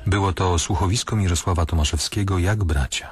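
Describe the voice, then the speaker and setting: Male announcer for radio credits; very low, husky, dry studio sound. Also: brisk, no echo. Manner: even, formal announcing. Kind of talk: reading radio drama credits.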